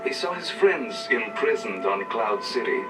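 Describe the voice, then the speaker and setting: A man's voice: fairly low pitch, lively intonation, measured pace. A man giving scripted, dramatic narration as the narrator of a story record. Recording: slightly distant 1980 record, loud music.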